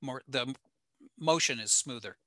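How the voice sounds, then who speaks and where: An older American man giving an informal slide lecture in an explanatory, halting way with frequent pauses. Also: medium pitch, pushed and bright, no room sound, clean studio sound. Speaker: presenter, ham radio operator. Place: small home room indoors.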